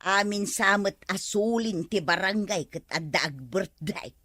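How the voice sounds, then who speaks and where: A woman's voice: medium pitch, unhurried, bright, forceful tone, no echo. A woman playing a hardworking, complaining villager in an Ilocano comedy drama. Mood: reluctant.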